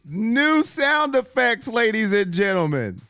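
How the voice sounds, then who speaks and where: A man hosting a live sports stream, chatting and teasing his viewers, his voice hoarse from yelling. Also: sits high, takes it slowly, faint music behind. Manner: animated, teasing chat with viewers. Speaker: sports livestream host. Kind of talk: live fan pregame chat.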